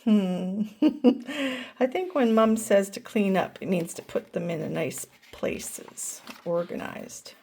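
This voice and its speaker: An older woman reading a picture book aloud to children, gently asking them questions along the way. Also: mid-range voice. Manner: gentle, asks listeners questions. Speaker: storyteller grandmother.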